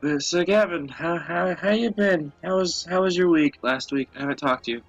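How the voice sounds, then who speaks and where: A man's voice: a little high, moderate pace, gravelly, soft background music, rough recording. A young man chatting casually and telling stories as a co-host, in a crude, profanity-laden way. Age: young adult. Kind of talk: casual chat, storytelling.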